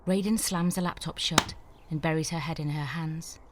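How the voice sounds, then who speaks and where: Woman narrator for screenplay reading, measured delivery, somewhat low voice. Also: fairly even intonation, noisy background. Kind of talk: scripted narration of stage directions.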